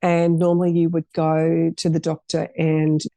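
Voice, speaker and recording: A woman's voice: low-pitched, monotone, moderate pace, warm tone, no room sound. A middle-aged woman, a podcast guest telling her story in an earnest, rambling way with many restarts. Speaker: guest, cafe owner. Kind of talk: casual interview chat.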